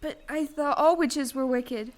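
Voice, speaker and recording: A woman's voice: high-pitched, a little slow. A woman playing an earnest, bewildered young farm girl in an audio play, speaking with breathless, exclamatory wonder. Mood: puzzled, polite. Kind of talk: stage-style audio play acting.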